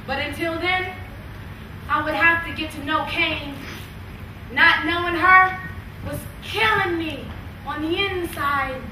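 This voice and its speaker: An actress playing an anguished woman who confesses buried trauma in slow, poetic, theatrical verse on stage. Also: extremely high pitch, bright, forceful tone, full character voice. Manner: slow, poetic, dramatic delivery. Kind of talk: theatrical spoken-word monologue with sung refrains. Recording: echoey stage hall, distant mic, noise.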